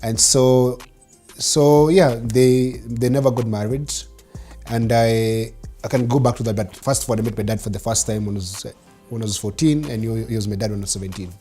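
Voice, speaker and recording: A man's voice: mid-range voice, steady tone, normal speed, mellow, slightly rough, soft background music. Kenyan man in his forties telling stories. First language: Swahili. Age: 40s. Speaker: interview guest. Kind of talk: telling life story, conversational.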